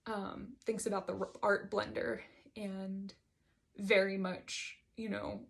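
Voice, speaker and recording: A woman's voice: medium pitch, level tone, takes it slowly, vocal fry, a bit bright. A woman giving a reflective solo monologue, hesitant and digressive as she thinks aloud about her writing. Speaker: host reading and discussing own writing.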